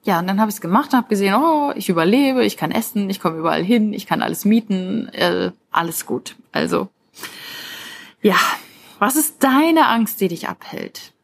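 A woman's voice: mid-range voice, expressive, normal speed, gravelly. Encouraging woman in an informal motivational monologue. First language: German. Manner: encouraging, addresses the listener directly.

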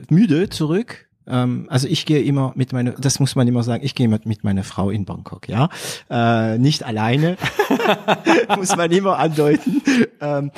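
A man's voice: medium pitch, darkish tone. A man from France interviewing a guest in a chatty, playful way. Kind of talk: casual interview talk.

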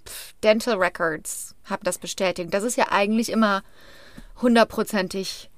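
A woman chatting casually as a podcast host, in an animated, jokey, informal way. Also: mid-range voice, moderate pace, no room sound.

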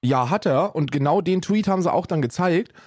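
A man chatting comically on a podcast, halting and rambling, dropping in bits of English. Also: a little high, expressive, a little mellow, no room sound, studio quality. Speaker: podcast co-host. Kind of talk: casual comedic podcast banter.

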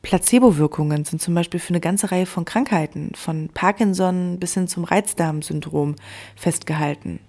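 A woman's voice: on the low side, normal speed, darkish tone. A young adult woman speaking earnestly in a personal spiritual monologue, talking straight to the listener and now and then dropping to a whisper. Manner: earnest, addresses the listener directly, sometimes drops to a whisper.

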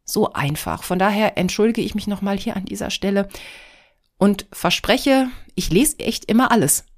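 A woman's voice: medium pitch, normal speed. A middle-aged woman hosting her own podcast, talking casually and rambling a bit, nasal and stuffed up from a cold. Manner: scattered, rambling, self-deprecating. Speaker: podcast host. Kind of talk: casual solo podcast chat.

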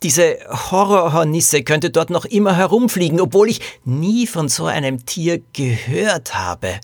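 A worried young girl detective, acted by a man, careful and hesitant in a storybook mystery. The voice moves in a lively way, with hardly any room sound. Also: fairly high pitch, unhurried, no room sound, clean studio sound, pitched above the performer's own voice, exaggerated voice.

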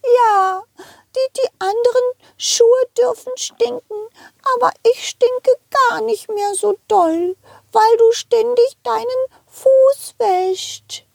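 Squeaky, pouting little shoe. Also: female voice, slow pace, vocal fry, a little mellow, no echo, exaggerated voice. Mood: hurt, whiny. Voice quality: squeaky, whiny. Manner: pouting, hesitant. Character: hurt, sulky, childlike stinky shoe. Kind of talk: children's puppet audio play.

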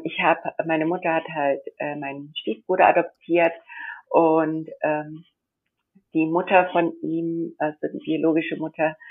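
A young woman who grew up in India, telling her story as an interview guest in a chatty, rambling way with many fillers and frequent laughs. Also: low voice. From grew up in India, born in Germany. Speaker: interview guest, model and entrepreneur. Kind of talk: casual interview chat. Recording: tinny phone-like line.

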